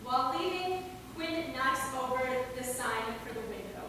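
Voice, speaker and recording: A woman's voice: high voice, lively intonation, moderate pace. Distant woman narrator in a cavernous hall. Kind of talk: scripted narration of stage directions. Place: theater stage, recorded from a distance. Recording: very echoey hall, far mic, noisy.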